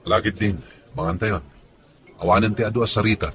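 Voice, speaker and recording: Man playing a curt, low-voiced Filipino father. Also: a little fast, a bit bright, faint music behind, lightly acted. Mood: curt, uneasy. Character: curt, evasive husband and father. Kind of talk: radio drama acting. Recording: faint background ambient noise.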